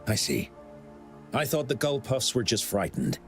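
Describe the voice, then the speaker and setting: A man's voice: medium pitch, very animated, a little slow. An actor playing an earnest young male event organizer in a game, speaking politely and expressively. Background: game music.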